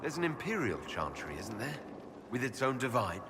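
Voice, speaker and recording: A man playing a curious, polite elf hero in a video game, with a direct, inquisitive and measured manner. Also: medium pitch, big pitch swings, natural voice. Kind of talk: video game dialogue acting. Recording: reverberant hall, faint background noise.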